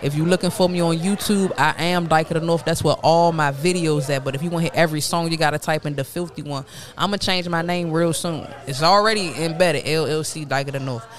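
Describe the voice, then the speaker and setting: A woman's voice: low voice, fairly quick. A woman in her late twenties talking candidly and casually as a podcast guest, using street slang and repeating herself for emphasis. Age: late 20s, turning 30. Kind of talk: candid casual interview talk.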